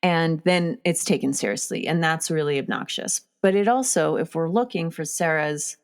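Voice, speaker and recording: A young woman with a low voice hosts a casual talk about films. She is chatty and often stops to search for the right word, filling the gaps with ums. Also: moderate pace. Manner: chatty, searching for words, many fillers. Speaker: podcast host. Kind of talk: casual film discussion.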